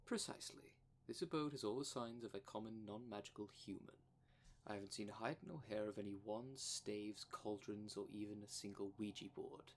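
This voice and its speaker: Clear background noise sits around a lowish male voice. It is a man acting a fussy, protective dream demon for an ASMR audio drama, choosing formal, wordy phrases with precise diction and a courteous manner. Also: moderate pace, natural voice. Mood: fussy, cautious.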